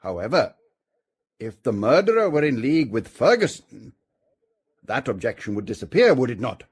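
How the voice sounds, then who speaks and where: Measured, husky police chief constable. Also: male voice, mid-range voice, very animated. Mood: considered, assured. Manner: formal, articulate, deliberate. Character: senior police chief constable, confident, measured, reasoning theorist. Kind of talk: British detective radio drama acting.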